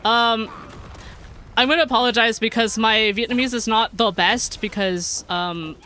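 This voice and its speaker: A woman's voice: fairly high pitch, steady tone, measured pace, bright, forceful tone. A young American woman chatting casually while streaming a game, reading chat aloud, giggling often and rambling through her sentences. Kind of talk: casual gameplay livestream chat.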